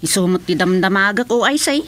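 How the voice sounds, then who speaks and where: A woman's voice: medium pitch, animated, brisk, fairly bright tone, natural voice. A woman playing a gossipy, indignant village councillor in a radio comedy drama. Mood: chatty.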